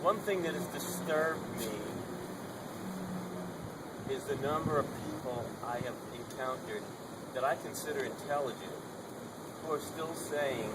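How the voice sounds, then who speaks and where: A man's voice: medium pitch, a little slow, long pauses. A man with a strong, projecting voice giving an unamplified speech at an outdoor protest gathering, emphatic and urging, driving his points home through repetition. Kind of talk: unamplified open-mic speech. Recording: noisy outdoor recording.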